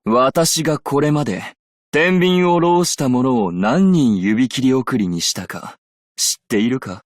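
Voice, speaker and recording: A man playing a composed, shrewd and dignified desert merchant in a Japanese game, speaking in a measured, formal way. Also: mid-range voice, animated, no room sound, studio quality, close to an everyday voice. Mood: solemn, cool. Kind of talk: Japanese game character voice lines.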